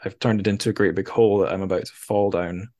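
A man with a distinctly low voice hosts a casual book discussion. He speaks informally and reflectively, often hesitating and rephrasing as he works toward his point. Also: steady tone, quick pace, darkish tone. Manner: hesitant, reflective, searching for words. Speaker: podcast host.

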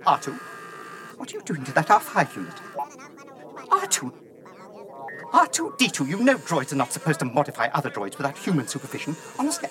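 An actor playing a prim, anxious, wordy protocol droid in a radio drama. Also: male voice, sits high, background noise. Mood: scolding. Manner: prim, formal, flustered, wordy. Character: fussy, anxious, prissy male humanoid protocol droid. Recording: radio drama with music underneath.

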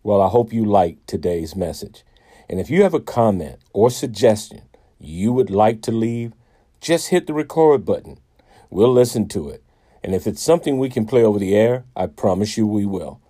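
A man's voice: lowish voice, expressive, moderate pace, mellow, breathy edge, no room sound, clean studio sound. A man hosting a radio show, talking to guests and listeners in an encouraging, motivational way. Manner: encouraging, exhortative.

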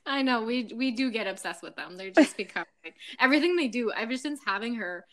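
A woman's voice: fairly high pitch, animated, moderate pace. Giggly French-Canadian woman guest. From Quebec City, Canada. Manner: giggly, upbeat, laughs between sentences. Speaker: podcast guest. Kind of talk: casual chat.

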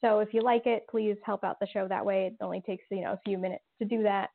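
A woman with a mid-pitched voice speaks as a guest on a podcast. She chats informally, and the audio is narrow and phone-like, as if over a call. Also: fairly even intonation, quick pace, vocal fry, noisy background. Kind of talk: casual interview chat.

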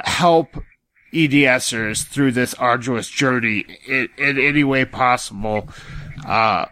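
A man co-hosting a podcast, speaking in a measured, somewhat formal way. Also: medium pitch, pushed and bright, no room sound. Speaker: podcast co-host. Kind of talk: conversational podcast announcement.

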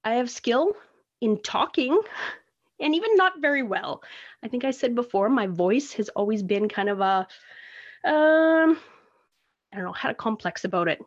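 Canadian woman, solo podcast host, chatty and self-mocking, slightly high voice, somewhat dull recording. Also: animated, normal speed, long pauses. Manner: rambling, self-deprecating, chatty. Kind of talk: casual solo podcast monologue. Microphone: phone recording.

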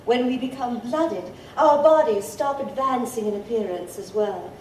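A woman playing an ancient, youthful-looking vampire wise woman in an audio drama, playfully sly, with formal, teasing, measured speech. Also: on the high side, darkish tone, lightly acted. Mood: amused. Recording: roomy, distant, faint background noise.

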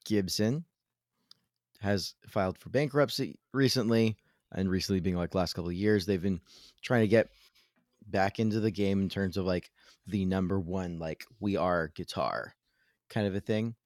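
Low-voiced man with a dry sound. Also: normal speed, darkish tone, no echo. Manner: playful mock-conspiratorial, stammering restarts. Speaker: podcast co-host. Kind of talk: casual podcast chat.

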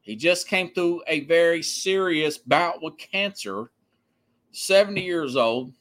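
A man hosting a sports podcast, giving opinionated, unscripted commentary in a halting, emphatic way that often circles back to repeat points. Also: fairly high pitch, a bit bright.

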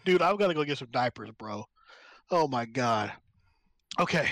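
A man's voice: medium pitch, expressive, normal speed, a bit bright, no echo. A man co-hosting a casual comedy podcast, playful, laughing easily and sliding into mock rants. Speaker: podcast co-host.

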